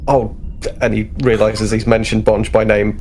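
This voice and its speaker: A man's voice: lowish voice, completely flat delivery, moderate pace, darkish tone, slight background noise. A man casually improvising descriptions of his character as a player in a tabletop game.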